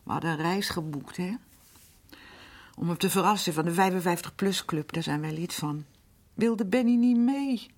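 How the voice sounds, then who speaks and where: Older working class mum in a radio drama, husky, kinda low, rambling and plaintive, confiding. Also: female voice, moderate pace. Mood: reminiscing, aggrieved. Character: worn-down, chatty older working-class housewife and mother. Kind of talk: naturalistic Dutch radio drama acting.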